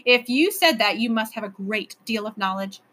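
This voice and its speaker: A woman voicing a lonely, excitable, imperious male magical creature king from a storybook, grand and insistent in manner. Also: mid-range voice, moderate pace. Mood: excited, insistent. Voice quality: lisping.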